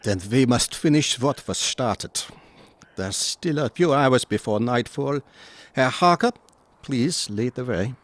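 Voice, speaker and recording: An actor playing a resolute older professor and vampire hunter, speaking formally and with command in an audio drama. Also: male voice, mid-range voice, animated, takes it slowly. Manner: formal, commanding, tags questions with "yeah".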